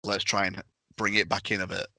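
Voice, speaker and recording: A man's voice: low-pitched, completely flat delivery, brisk, pushed and bright, no echo. A man in a casual podcast discussion, talking emphatically as a game master and acting out his examples.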